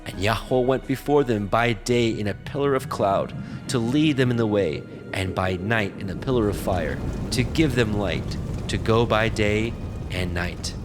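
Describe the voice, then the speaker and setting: A man narrates a dramatized scripture reading. His low voice is measured and solemn, clearly scripted. Faint music plays behind him.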